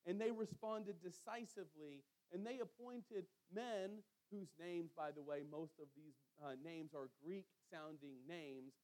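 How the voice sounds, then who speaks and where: Male preacher, high-set voice, sermon delivery that leans on repetition and weighted pauses for emphasis. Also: darkish tone, poor audio. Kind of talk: sermon with scripture reading and storytelling.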